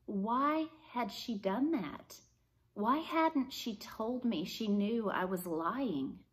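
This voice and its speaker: A woman reading a story aloud in an expressive narrating style. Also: on the high side, takes it slowly. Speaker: storybook reader.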